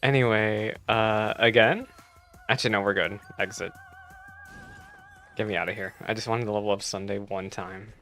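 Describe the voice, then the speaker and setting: A man's voice: fairly low pitch, steady tone, moderate pace, pauses often, a bit bright, soft background music. A man giving casual, teasing live reactions as a game streamer, chatty and joking.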